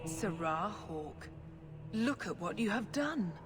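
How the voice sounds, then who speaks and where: An actress playing a self-righteous church leader who accuses and preaches. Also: female voice, mid-range voice, animated, slow pace, music in the background. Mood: accusing.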